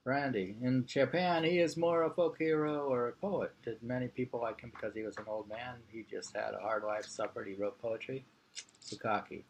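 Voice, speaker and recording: A man's voice: medium pitch, normal speed, gravelly, slight background noise, rough recording. A man reading an interview aloud in an informal way. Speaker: reader of a printed interview.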